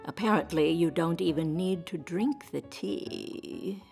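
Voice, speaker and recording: Prim, creaky-voiced ghost reporter. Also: female voice, on the low side, slow pace, darkish tone, faint music behind. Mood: earnest explaining. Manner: polite, hesitant, wordy. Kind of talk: audio drama character acting.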